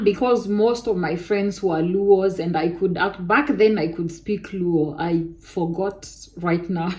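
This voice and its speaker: A young woman from Kenya with a soft voice, telling viewers a personal story in a casual, animated way. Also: medium pitch. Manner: animated testimony with Kenyan interjections like "eh" and "kai".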